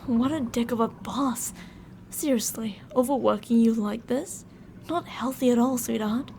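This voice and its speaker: A woman's voice: a little high, a little slow, creaky, warm tone, a little hiss or hum. A woman playing teasing, possessive female mad scientists in an ASMR roleplay, coaxing and domineering with sweet menace.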